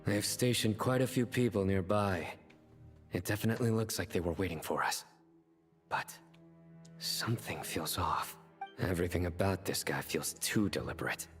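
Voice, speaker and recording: Commanding general, lowish voice, grave, terse, suspicious; game dialogue over soft music. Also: male voice, moderate pace. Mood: wary. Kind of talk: scripted video game voice acting.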